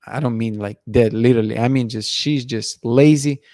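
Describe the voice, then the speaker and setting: A hoarse man in his thirties hosts a livestream from a dim room, bluntly offering opinions as he reads chat comments. He thinks aloud and restarts his sentences. His voice sits in a middle pitch, picked up close and dry by a studio mic. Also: normal speed, mellow, dry recording. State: losing his voice, clearing throat. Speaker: livestream host. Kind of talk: casual livestream talk reading chat comments. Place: dim room at night, indoors. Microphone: studio mic in front of him.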